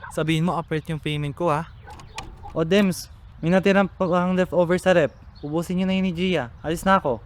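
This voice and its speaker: A man's voice: fairly high pitch, steady tone, fairly quick, natural voice. A man with a Filipino accent playing a hardworking, impatient eldest brother in a radio drama. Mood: brisk. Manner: brusque, exasperated. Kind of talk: radio drama acting, casual family talk. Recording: faint background noise.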